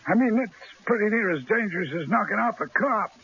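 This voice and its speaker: An actor playing a timid, cautious gangster henchman in a radio drama, streetwise and placating, with a husky rasp. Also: sits high, animated, fairly quick, sharp tone, heavily acted. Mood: anxious, cautious. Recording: muffled old radio broadcast.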